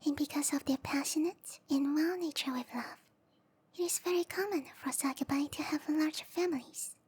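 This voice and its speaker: High, whispering seductive matchmaker played by a woman. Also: moderate pace, a little mellow, close to an everyday voice. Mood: teasing, informative. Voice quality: breathy whisper. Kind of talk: whispered ASMR roleplay.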